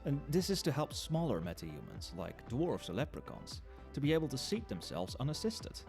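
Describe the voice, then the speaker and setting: A man's voice: mid-range voice, wildly swooping pitch, moderate pace, vocal fry. A man playing a chatty, knowing nightclub barman in an ASMR roleplay, talking conversationally to the listener with wry understatement. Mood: pleased, explanatory. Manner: conversational, explanatory, with hesitations and understatement. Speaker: voice actor in an audio roleplay. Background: soft club music and nightclub ambience.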